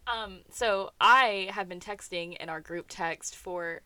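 A woman's voice: on the low side, slow pace, bright, forceful tone, no room sound. A young woman co-hosting a podcast, chatting casually and telling stories with frequent laughter. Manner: chatty, storytelling, laughs often. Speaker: podcast co-host. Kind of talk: casual chat.

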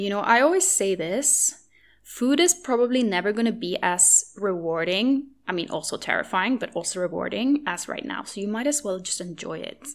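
Reassuring woman. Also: fairly low pitch. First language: Norwegian. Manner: earnest and reassuring, repeats key points for emphasis. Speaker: podcast host. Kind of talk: solo podcast advice talk.

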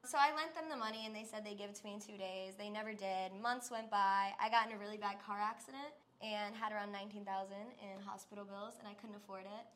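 Podcast guest, woman from New York, bright and breezy, filler-heavy casual storytelling. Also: mid-range voice, quick pace, hardly any pauses. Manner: chatty, laughs easily, lots of "like". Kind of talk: casual chat.

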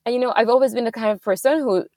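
A woman's voice: fairly high pitch, racing, darkish tone, dry recording, clean studio sound. A young woman from Hungary, a startup founder, answering interview questions in an earnest, fluent way with small restarts.

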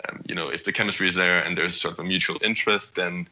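A young man from Denmark speaks in a low register with a very forceful, bright edge. He talks at a halting, conversational pace and keeps restarting his phrases as he tells his story. The audio is dull and lo-fi. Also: steady tone. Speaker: startup CEO guest. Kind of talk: conversational interview answer.

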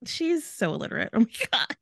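Creaky, animated woman in casual chat. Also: on the high side, fairly quick, no room sound, studio quality. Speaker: podcast co-host.

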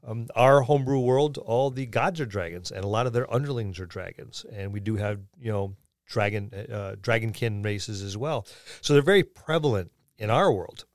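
A man's voice: fairly low pitch, normal speed, no echo, studio quality. A man co-hosting a podcast, musing aloud in casual discussion and posing questions as he goes. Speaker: podcast co-host. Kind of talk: casual tabletop gaming discussion.

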